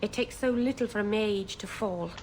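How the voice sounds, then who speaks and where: Game casting: actress as young female elf mage, earnest, soft-hearted, contrite; noisy capture. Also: mid-range voice, normal speed, lightly acted. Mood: remorseful, shaken. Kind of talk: video game character dialogue.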